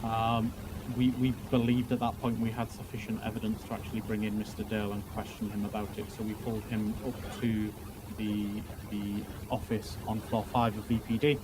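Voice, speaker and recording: A man playing a police officer giving testimony in a roleplay courtroom, talking haltingly with many fillers and repeated words. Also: on the low side, level tone, background noise.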